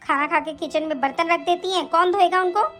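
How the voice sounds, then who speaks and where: A woman's voice: extremely high pitch, fairly bright tone, faint music behind. A woman doing a bossy, nagging aunt. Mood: scolding. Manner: scolding, nagging, quick. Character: bossy scolding aunt and daughter-in-law, middle-aged. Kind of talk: comic family skit acting.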